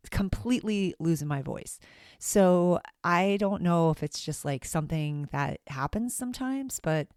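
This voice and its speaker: A woman hosting her own podcast in casual solo talk, her voice raspy and hoarse from strained vocal cords, chatty and encouraging. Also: low-pitched, dry recording, clean studio sound. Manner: chatty, encouraging, laughs often. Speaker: podcast host, former teacher.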